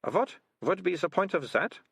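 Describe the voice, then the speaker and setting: An earnest young storybook girl played by a man, forthright and matter-of-fact. The voice is high and faintly husky, pondering each point with a lively rise and fall. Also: moderate pace, no echo, studio quality, full character voice. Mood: puzzled, probing.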